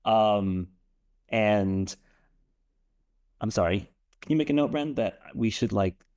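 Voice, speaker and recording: A man hosting an interview, asking questions in a relaxed, conversational way. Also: on the low side, slow. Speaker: interview host. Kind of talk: casual interview talk.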